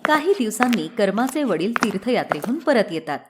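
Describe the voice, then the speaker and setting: A woman narrating a scripted devotional story in an expressive storybook delivery. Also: a little high, a little fast, over music.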